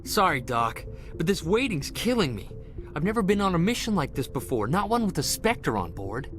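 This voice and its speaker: A man acting an eager, restless young marine corporal in a video game, excitable and earnest. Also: a little high, normal speed. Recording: loud music behind the voice. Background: music.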